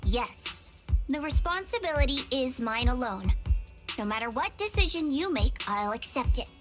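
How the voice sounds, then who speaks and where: A high, animated young female voice plays an earnest agent in a video game. She is formal and quietly stubborn, with music playing behind her. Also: moderate pace. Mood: resolute, contrite. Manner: formal, matter-of-fact, resolute. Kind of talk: dramatic game cutscene acting.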